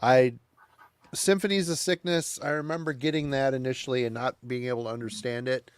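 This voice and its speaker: A man's voice: mid-range voice, steady tone, a little fast, clear, resonant tone, no echo. A man co-hosting a podcast, talking emphatically in casual chat, repeating himself and swearing casually. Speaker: podcast co-host. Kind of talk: casual chat about metal albums.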